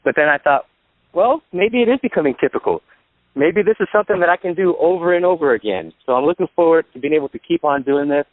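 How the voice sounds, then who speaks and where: High-voiced man over a dry phone line. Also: animated, fast talker, no echo. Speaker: insurance sales agent and trainer, guest speaker. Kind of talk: instructional sales talk on a call.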